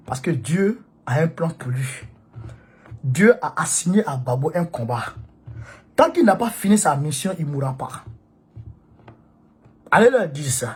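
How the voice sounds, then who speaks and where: A man's voice: a little high, darkish tone, slightly rough. A man, who has a cold, giving an impassioned political monologue to supporters in an emphatic, repetitive, preachy way. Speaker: political activist addressing supporters.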